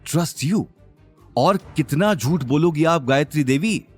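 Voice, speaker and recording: आदमी की आवाज़ में तिरस्कार भरा सख़्त कंपनी अफ़सर, उतार-चढ़ाव भरा लहजा. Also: male voice, on the high side, lively intonation, slow pace, slightly rough, faint music behind, pitched above the performer's own voice, exaggerated voice. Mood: scornful. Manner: scornful, emphatic, commanding. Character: angry, contemptuous male company executive, stern and threatening. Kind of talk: Hindi audio drama acting.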